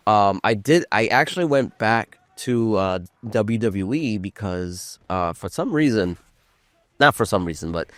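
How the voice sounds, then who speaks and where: A man's voice: fairly low pitch, animated, measured pace, fairly bright tone, no room sound. A man with a congested, nasal voice from a cold, co-hosting a podcast in loose, rambling casual chat. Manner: loose, rambling, laughs often. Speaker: podcast co-host.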